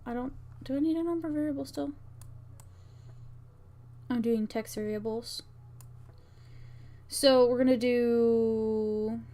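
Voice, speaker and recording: A woman's voice: fairly high pitch, dark tone. A woman streamer talking herself through a task step by step in fragmented, muttered phrases, casually thinking aloud. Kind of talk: casual work-along narration, thinking aloud. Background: keyboard typing and clicking.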